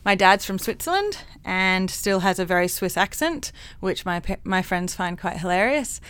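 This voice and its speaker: A woman's voice: medium pitch, big pitch swings, moderate pace, smooth. Laughing woman psychologist, mixed accent. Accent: mixed international. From Hong Kong. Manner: warm, laughs often, frank. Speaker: clinical psychologist guest. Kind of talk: interview conversation.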